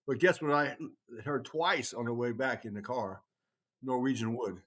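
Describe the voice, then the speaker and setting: A man co-hosting a podcast, chatting casually in a rambling, halting way, often circling back on his words. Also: mid-range voice, a bit bright. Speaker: podcast co-host, heathen group leader.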